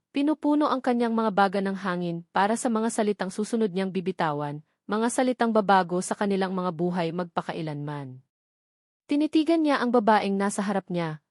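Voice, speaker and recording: Female story narrator, medium pitch, emotive and deliberate delivery, very dry close sound. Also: a little mellow, no room sound. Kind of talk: dramatic scripted story narration.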